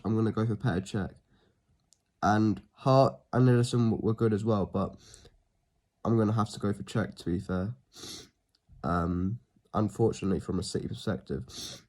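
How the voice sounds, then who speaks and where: A man with a cold, sounding a bit sniffly, talking casually through a football team pick, with frequent ums and phrases that trail off quietly. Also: mid-range voice. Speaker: football fan channel host. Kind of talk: casual solo preview talk.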